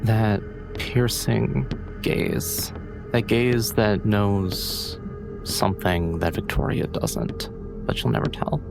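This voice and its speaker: A man playing a tabletop game, describing his character in the third person in deliberate, broken phrases. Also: lowish voice, vocal fry, music in the background.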